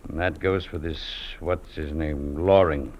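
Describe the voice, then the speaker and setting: An actor playing a stern, unyielding Mountie sergeant, speaking curtly in 1950s radio drama style. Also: male voice, very deep voice, steady tone, a little slow. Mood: grim resolve. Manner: curt, stern, blunt. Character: hard, unyielding Mountie sergeant, adult man. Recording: dull, noisy old radio recording.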